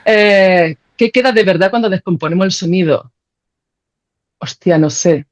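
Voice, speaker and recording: A woman's voice: fairly low pitch, unhurried. Informal Spanish woman, slightly dull recording. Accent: Spain. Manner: informal, thinking aloud, often seeking agreement with tags. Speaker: podcast guest, composer and philosopher. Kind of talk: spontaneous, reflective interview conversation.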